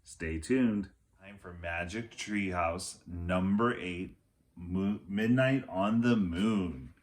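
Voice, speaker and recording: A middle-aged man reading a children's chapter book aloud as a teacher would, with expressive narration. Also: low-pitched. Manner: slow, expressive narration with acted dialogue. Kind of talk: children's story read-aloud. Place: small room at home, indoors.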